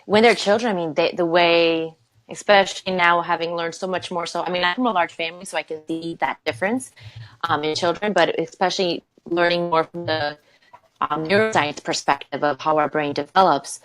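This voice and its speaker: A woman's voice: on the low side, fairly even intonation, a little fast, fairly bright tone, soft background music, low-quality recording. A woman from Romania, Romanian her first language, speaking as an interview guest over a video call, bubbly and giggly. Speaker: leadership coach guest. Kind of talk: casual interview chat.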